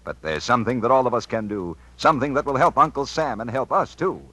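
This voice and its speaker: A man's voice: mid-range voice, expressive, quick pace, sharp tone, dry recording. An American man announcing a scripted 1950s radio program in a formal, emphatic manner. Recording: vintage radio recording with music.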